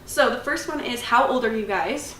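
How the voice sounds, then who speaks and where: A bright, fairly high-voiced woman of about twenty chats loosely and laughs easily as she co-hosts a casual vlog. She sits back from the mic in an echoey room with a faint hum of room noise behind her. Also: moderate pace, far from the mic. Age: 20s. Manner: giggly, loose and chatty. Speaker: channel co-host. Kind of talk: casual chat.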